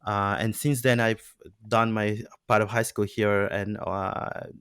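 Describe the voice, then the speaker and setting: A man in his thirties from Peru, a native Spanish speaker, talks slowly through his thoughts with plenty of fillers and restarts. His voice sits fairly low, and the recording is dry and close. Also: little pitch movement, a bit bright, clean tone, no room sound. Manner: reflective, many fillers and restarts. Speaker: podcast host. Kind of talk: casual conversation.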